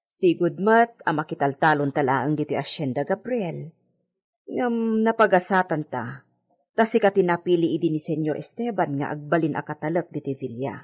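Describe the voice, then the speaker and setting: A woman's voice: low-pitched, expressive, normal speed, slightly rough, heavily acted. A woman with an Ilocano accent acting a practical, reassuring housekeeper in a radio drama, coaxing and giving advice. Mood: chiding.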